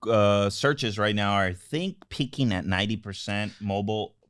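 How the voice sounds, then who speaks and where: Male host, conversational and informal, resonant lower voice, dry recording, casual business podcast feel. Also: moderate pace, a bit bright, no room sound.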